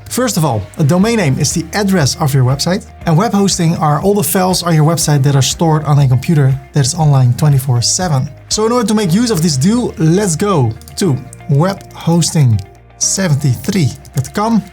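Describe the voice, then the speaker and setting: Man, Dutch accent, tutorial host, plain step-by-step walkthrough, slightly higher voice. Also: lively intonation, fairly quick, a little mellow, faint music behind. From Netherlands. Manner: plain step-by-step instructions, reading on-screen prompts aloud. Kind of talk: screen-recorded walkthrough.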